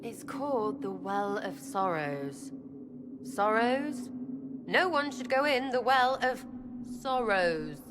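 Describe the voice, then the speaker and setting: A woman's voice: fairly high pitch, very animated, takes it slowly, noisy background. A woman playing a skeptical, plain-spoken fantasy game companion, speaking with emphatic disbelief. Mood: incredulous. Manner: incredulous, emphatic.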